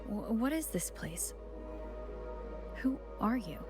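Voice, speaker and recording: An actress playing a puzzled, quiet wanderer in a fantasy game, with a hesitant manner. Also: female voice, mid-range voice, very animated, takes it slowly, music in the background. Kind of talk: game dialogue voice acting.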